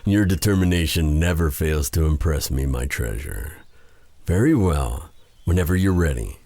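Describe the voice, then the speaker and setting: Man playing a deep, husky dark sorcerer. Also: animated, normal speed, dry recording, exaggerated voice. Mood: patient, encouraging. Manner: courtly, formal phrasing with endearments. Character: feared dark sorcerer, tender and flustered toward his captive. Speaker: voice actor in audio roleplay. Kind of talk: romantic fantasy ASMR roleplay acting.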